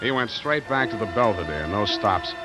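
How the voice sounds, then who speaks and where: An actor playing a wry, deadpan hard-boiled private detective in 1940s radio noir style. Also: male voice, fairly low pitch, moderate pace, close to an everyday voice. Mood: wry narrating. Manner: deadpan, sardonic. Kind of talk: 1940s radio noir acting and first-person narration. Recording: vintage radio broadcast, music underneath.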